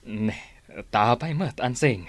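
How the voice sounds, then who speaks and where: A man's voice: medium pitch, slow, no room sound. A man with an Ilocano accent acting in a radio drama as a teasing but kindly friend. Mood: teasing, advising.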